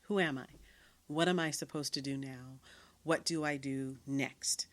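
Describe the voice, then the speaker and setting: Low-voiced earnest woman podcast host. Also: fairly even intonation, measured pace. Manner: earnest, many fillers and restarts. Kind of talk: reflective casual monologue.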